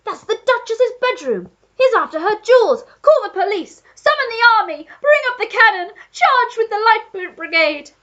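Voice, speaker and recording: Woman doing a loud pompous old duke, bellowing, high and lively, muffled recording. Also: moderate pace, hardly any pauses, exaggerated voice. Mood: alarmed. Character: blustering old aristocratic male Duke.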